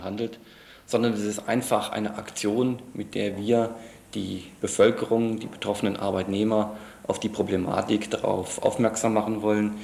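A man's voice: lowish voice, little pitch movement, moderate pace, gravelly, a little mellow. A man giving formal, wordy interview answers as a trade union official. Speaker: trade union regional secretary being interviewed. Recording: echoey room, faint background noise.

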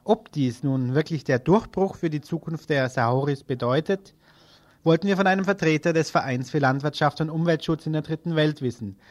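A man's voice: a little high, brisk, dry recording. A man working as a radio interviewer, reading a scripted report and putting questions to a guest.

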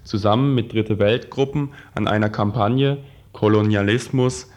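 Low-pitched man reading a political text. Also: fairly even intonation, normal speed, a little mellow, dull audio. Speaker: radio presenter reading a text. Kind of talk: scripted read-aloud of a political text.

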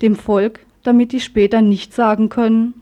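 A woman's voice: a little high, little pitch movement, normal speed, warm tone, highs cut off. A woman reading scripted radio news and commentary as a presenter, with a pointed, ironic delivery.

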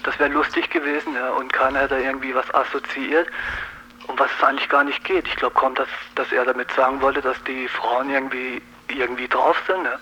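A man's voice: medium pitch, level tone, brisk, pushed and bright. A man speaking casually and spontaneously as a caller in a radio phone discussion, often tagging on a short affirmation. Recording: noisy phone call on 1980s radio.

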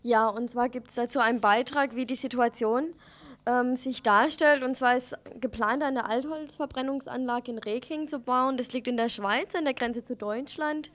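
A woman's voice: fairly high pitch, normal speed, fairly bright tone. A woman presenting topics as co-host of an informal radio news programme.

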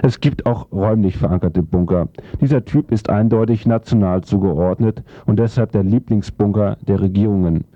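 A man's voice: lowish voice, normal speed, hardly any pauses, warm tone, dry recording. A man narrating a scripted theoretical text in a formal, declarative manner. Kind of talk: scripted reading of a theoretical essay. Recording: dull lo-fi sound.

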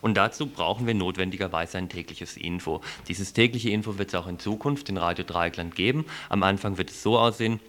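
A man with a low-pitched voice gives an explanatory studio talk. He sounds earnest and builds long clauses, halting and resuming as he goes. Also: fairly bright tone. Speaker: radio editor presenting a programme. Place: radio studio.

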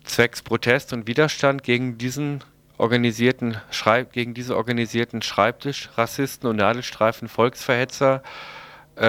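A man's voice: medium pitch, a bit bright. A man reading a news report as a radio presenter, in a plain delivery with the occasional stumble. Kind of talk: read news report on a local left-wing radio show.

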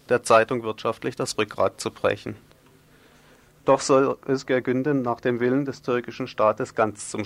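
A man presenting radio news, reading scripted political commentary in long, formal sentences. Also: medium pitch.

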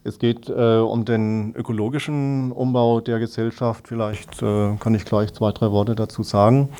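A man speaking as a political spokesman and guest in a radio interview, explaining things in halting phrases. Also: fairly low pitch, little pitch movement, dark tone.

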